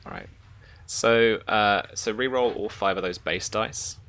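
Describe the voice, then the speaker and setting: A game master improvising narration and rulings for a tabletop roleplaying game, with a halting delivery. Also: medium pitch, fairly bright tone.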